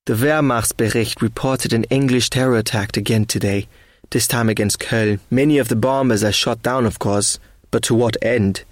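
Wartime audio drama: man to play German officer diarist, dry and matter-of-fact, fairly deep, very dry acoustic. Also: no echo, close to an everyday voice. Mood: resigned, wry. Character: weary, sceptical German army officer writing a war diary. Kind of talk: read-aloud wartime diary, measured dramatic narration.

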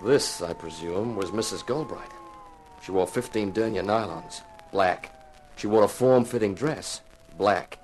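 An actor playing a dry, wisecracking insurance investigator who narrates a 1950s radio detective drama. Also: male voice, lowish voice, unhurried, lightly acted. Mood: sardonic narration. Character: wry, hard-boiled male insurance investigator and narrator. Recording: slightly noisy 1950s radio recording.

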